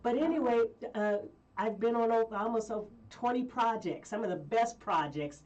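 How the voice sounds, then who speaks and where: A woman from Colorado, a guest singer telling stories in a rambling, lively way with frequent laughs. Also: fairly high pitch, normal speed, distant mic, dull audio. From Colorado, USA. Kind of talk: casual interview chat.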